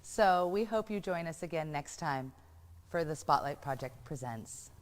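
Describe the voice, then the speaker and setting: A woman's voice: deep voice, lively intonation, unhurried. A woman hosting a live show, giving thanks and closing announcements from the stage. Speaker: show host. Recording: loud crowd and noise, live venue.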